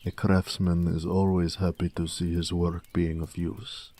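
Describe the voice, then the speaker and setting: A man plays a big-hearted orc blacksmith in a romantic audio roleplay. His voice is very low and mellow, and he takes his time with each phrase. The delivery is tender, measured and wistful, sometimes hushed. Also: level tone, dry recording. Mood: warm, affectionate. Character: gentle peace-loving male orc blacksmith, tender and wistful.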